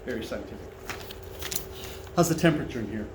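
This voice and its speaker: A man's voice: medium pitch, lively intonation, fast talker. Big-voiced man presenting in an echoey room. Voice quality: big, carrying voice. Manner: friendly, conversational, invites questions. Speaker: financial seminar presenter. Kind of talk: presentation to a seated audience. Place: restaurant dining room. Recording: echoey room, mic far off, noisy.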